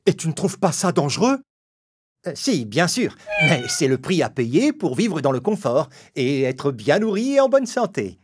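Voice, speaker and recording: A man voicing a small, excitable, expressive rat in a theatrical manner for a children's cartoon fable. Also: a little high, moderate pace, noisy background. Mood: debating. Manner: exclamatory, theatrical.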